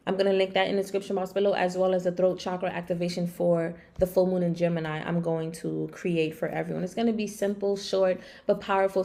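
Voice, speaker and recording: A raspy-voiced woman with a slight cold, giving a casual, conversational tarot and astrology reading to viewers, with playful asides. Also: on the low side, warm tone. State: getting over sneezing and coughing. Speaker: online tarot and astrology reader.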